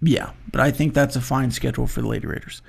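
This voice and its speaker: A man co-hosting a casual sports talk show, rattling off schedules with animated banter. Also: medium pitch, fast, vocal fry, husky.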